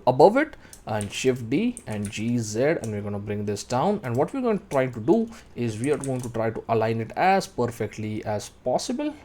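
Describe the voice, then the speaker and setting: A man in his thirties narrating a step-by-step software tutorial, explaining each action as he does it. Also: medium pitch. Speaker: tutorial instructor.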